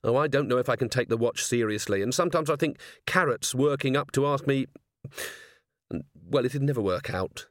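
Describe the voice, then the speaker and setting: A man voicing a wry, world-weary adult werewolf woman with a dry, brisk, guarded manner in a storybook reading. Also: mid-range voice, no room sound, close to an everyday voice. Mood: resigned.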